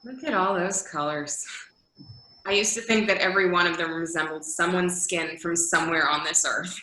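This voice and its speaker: A woman's voice: lowish voice, fairly even intonation, moderate pace, sharp tone, slight background noise. A woman playing a middle-aged, world-weary caseworker who is guarded but caring, in a readers theater scene. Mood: wry, softening. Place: indoor room on a video call. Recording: echoey room on video call.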